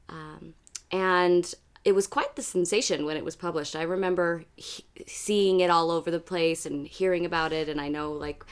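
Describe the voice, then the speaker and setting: A woman with a raspy, hoarse voice, hosting a casual book podcast and chatting loosely with friends, with many fillers. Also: on the low side. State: hoarse after karaoke. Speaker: podcast host. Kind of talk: casual chat among friends.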